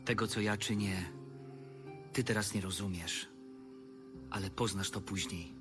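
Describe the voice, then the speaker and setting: An actor playing Jesus as a calm, grave teacher in a dramatized audio Bible, measured and solemn. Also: male voice, on the low side, close to an everyday voice. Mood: solemn, gentle. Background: music.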